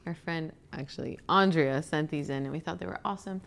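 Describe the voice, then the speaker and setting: A young woman from Venezuela co-hosting a casual faith podcast, speaking earnestly and warmly about her own life. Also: low voice, a little fast, gravelly. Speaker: podcast co-host.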